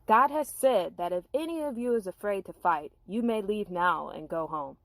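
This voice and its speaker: A woman's voice: mid-range voice, expressive, normal speed, a bit bright, no room sound. A woman voicing Gideon, an anxious, reluctant male warrior, in an earnest, pleading storybook manner. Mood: resolved.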